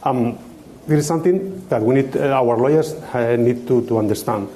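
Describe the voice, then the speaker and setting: Man, dark joking voice. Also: medium pitch, moderate pace, slight background noise. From Spain. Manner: joking, repeats words, tag "no?". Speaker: IT director, panelist. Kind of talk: conference panel answer.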